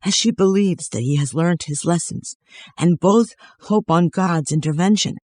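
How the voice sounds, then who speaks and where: A man's voice: high voice, normal speed, darkish tone. A man narrating a scripted moral story as its storyteller.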